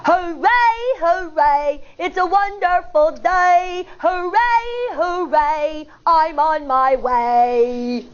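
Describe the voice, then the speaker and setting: Lady doing a squeaky excited little bug boy, storybook voice, kinda muffled. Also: extremely slow, few pauses, full character voice. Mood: singing cheerfully. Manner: eager, bouncy, questioning.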